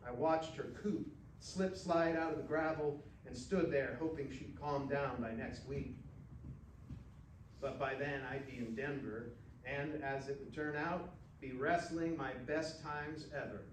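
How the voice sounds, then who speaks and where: A man's voice: mid-range voice, fairly even intonation. A man reading a first-person short story aloud in a dramatic, folksy storytelling manner, recorded at a distance in a roomy space. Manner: folksy, unhurried cowboy storytelling. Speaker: actor reading fiction aloud. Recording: roomy, distant mic with faint noise.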